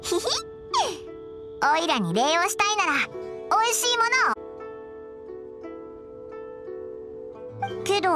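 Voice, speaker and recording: Squeaky, cheeky little fairy companion. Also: female voice. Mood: smug, cheeky. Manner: cheeky, boyish childlike speech. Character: tiny floating fairy companion, childlike, cheeky and bossy. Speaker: voice actor in a game dub. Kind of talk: anime-style game dialogue acting. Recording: studio voice over game music. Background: game background music.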